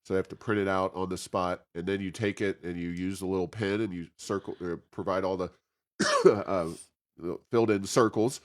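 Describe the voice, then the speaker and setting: Male interviewer, deep voice, hesitant phrasing, conversational podcast questioning. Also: steady tone, normal speed.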